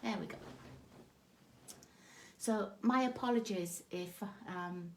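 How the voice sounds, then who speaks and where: Raspy-throated woman hosting a craft live. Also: mid-range voice, slow, a little mellow. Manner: chatty, greets viewers by name, self-deprecating. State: scratchy sore throat, slightly raspy. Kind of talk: casual live craft tutorial chatting with viewers. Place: craft desk indoors, overhead camera on hands.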